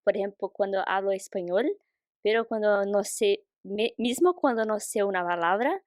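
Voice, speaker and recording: A young adult woman whose first language is Portuguese, a guest and international student, speaking carefully and pausing to search for words. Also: medium pitch, darkish tone, no room sound, clean studio sound. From Brazil. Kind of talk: casual interview chat.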